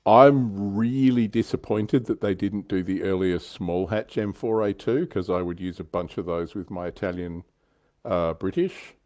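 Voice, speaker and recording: A man's voice: sits low, fairly even intonation, a little slow, a little mellow. A man hosting a livestream, chatting casually and explaining details of a hobby. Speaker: livestream host.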